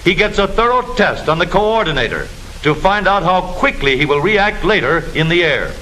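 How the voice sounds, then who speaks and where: A bright, forceful American man narrates in the instructive, declamatory style of a 1940s military newsreel. His delivery swings widely in pitch. The old film soundtrack carries a light layer of noise. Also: sing-song, normal speed, rough recording.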